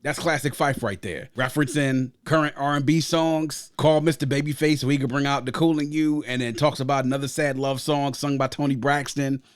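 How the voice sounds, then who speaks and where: A man's voice: medium pitch, steady tone, a little fast, hardly any pauses, smooth, no echo, clean studio sound. A man from Grenada hosting a casual podcast review of an album, talking enthusiastically and at length, often adding "you know what I'm saying". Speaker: podcast host. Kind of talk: casual music review chat.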